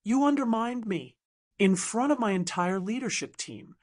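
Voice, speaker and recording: A woman's voice: fairly high pitch, lively intonation, normal speed, a little mellow. A woman voicing a cold, controlling male resort CEO with an unyielding corporate manner, in an audiobook drama. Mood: controlled anger.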